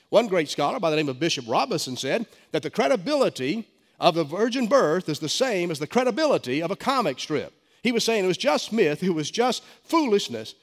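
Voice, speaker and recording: Stuffed-up preacher man in a reverberant hall. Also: a little high. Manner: emphatic preaching with frequent stammered restarts. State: stuffy head cold. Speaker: pastor. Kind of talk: sermon. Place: church sanctuary.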